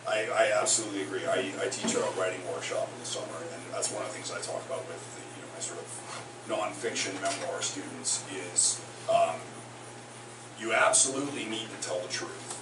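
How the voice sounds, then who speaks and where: A touring songwriter, a man, chatting onstage between songs in a halting, rambling way full of fillers. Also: low voice. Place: concert house, live in front of an audience. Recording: roomy live hall, distant, noisy.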